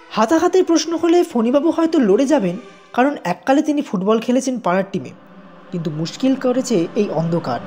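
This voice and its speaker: A man with a soft, mellow tone narrates a dramatic audio story. He tells it expressively and builds suspense, with music playing underneath. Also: very high voice. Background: background music.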